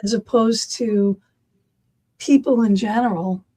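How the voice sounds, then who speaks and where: A woman's voice: medium pitch, takes it slowly, dark tone. A middle-aged woman from Massachusetts with a Massachusetts accent, chatting casually and animatedly, rambling with many fillers. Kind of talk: casual chat.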